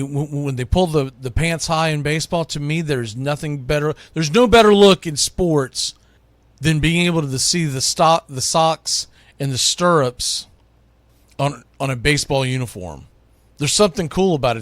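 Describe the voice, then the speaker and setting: Higher-pitched man talking baseball, dry sound. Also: a bit bright, dry recording. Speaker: sports radio host. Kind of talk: casual sports talk monologue, counting down a list.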